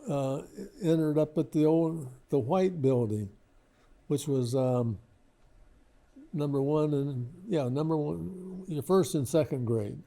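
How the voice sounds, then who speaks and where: An older man, reminiscing casually as an interview guest in a halting, modest, rambling way. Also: on the high side, gravelly, mellow. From Lebanon, Ohio, United States.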